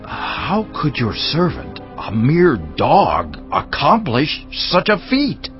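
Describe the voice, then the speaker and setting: Man acting a slow-spoken, humble royal envoy. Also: fairly high pitch, wildly swooping pitch, lo-fi sound, faint music behind, exaggerated voice. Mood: deferential. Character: deferential male royal envoy, humble and measured. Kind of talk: dramatized scripture audiobook acting.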